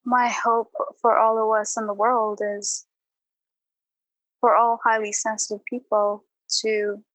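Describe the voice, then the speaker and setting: A woman's voice: a little high, no room sound, rough recording. A woman speaking as a podcast guest in a reflective, conversational way, earnest and encouraging.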